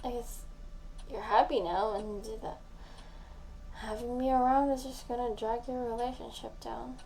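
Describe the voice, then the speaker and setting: A woman acting a tipsy, lovesick young woman confessing to her best friend in an intimate audio roleplay, soft and breathy, halting and often near a whisper. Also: fairly high pitch, fairly even intonation, rough recording. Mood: wistful, heartbroken. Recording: faint background noise.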